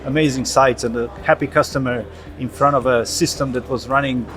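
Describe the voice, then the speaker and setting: Brisk-talking man from Romania, music behind. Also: medium pitch, fairly even intonation, a bit bright. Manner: earnest, enthusiastic, frequent small restarts. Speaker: interview guest, logistics executive. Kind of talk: conversational interview. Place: trade event venue.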